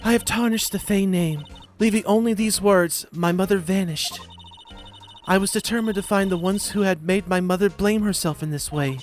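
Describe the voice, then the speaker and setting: A man's voice: high-pitched, normal speed, pitched above the performer's own voice, lightly acted. A man voicing a solemn, determined young woman in a dramatic amateur reading of video game text. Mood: solemn, bitter. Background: game music.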